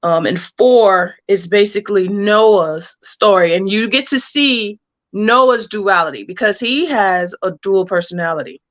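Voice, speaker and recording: A woman's voice: mid-range voice, unhurried. A woman speaking casually as an interview guest, explaining plans for a story as a comic book writer.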